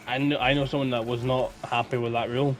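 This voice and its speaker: A man's voice: medium pitch, level tone, fast, slight background noise. A man taking part in a casual podcast chat among friends. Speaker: podcast participant.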